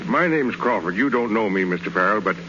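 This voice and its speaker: An actor playing a smooth-talking stranger who heaps ingratiating compliments, in a 1930s radio drama. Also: male voice, fairly low pitch, lively intonation, fairly quick, natural voice. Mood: flattering. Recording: dull, hissy 1930s radio broadcast.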